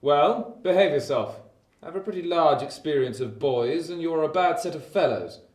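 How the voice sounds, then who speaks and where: Actor as a brusque, suspicious gentleman, echoey. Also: fairly high pitch, lively intonation, normal speed, close to an everyday voice. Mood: stern, suspicious. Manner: gruffly admonishing, pompous. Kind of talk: radio play acting. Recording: echoey stairwell sound.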